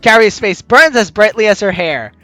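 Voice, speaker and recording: An animated let's player hosts a game playthrough in a mid-pitched voice that swings freely. The delivery is jokey and teasing, moving between reading narration and commenting. The sound is dry, with clear music underneath. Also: normal speed, no room sound. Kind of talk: let's play commentary and read-aloud narration.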